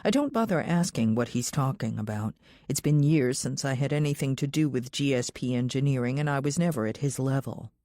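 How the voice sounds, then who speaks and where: A low-pitched woman narrates a thriller audiobook in the first person. The sound is dry, with very little room around her scripted reading. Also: expressive, fairly quick, dark tone, no echo.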